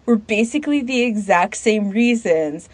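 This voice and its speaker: A woman's voice: mid-range voice, lively intonation, normal speed, no echo. Casual woman co-host, dry close sound. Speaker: podcast co-host. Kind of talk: casual discussion.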